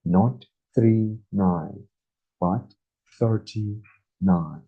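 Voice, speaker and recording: A man in his twenties teaching a beginner English lesson, speaking very slowly and deliberately and repeating each number. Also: lowish voice, slightly rough. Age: 20s. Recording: dull lo-fi recording.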